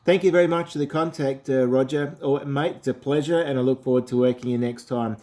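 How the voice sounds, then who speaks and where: A man's voice: medium pitch, steady tone, brisk, warm tone. An Australian man from South Australia, an amateur radio operator chatting easily through a ham radio contact, with many fillers.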